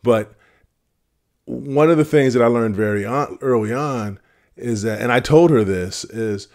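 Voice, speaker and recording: A man in his fifties with a deep voice, giving earnest advice straight to camera in a casual vlog, with fillers and restarts. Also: unhurried, darkish tone. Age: 50s. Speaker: retirement vlogger. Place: home room with shelves of vinyl records. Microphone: camera at close framing.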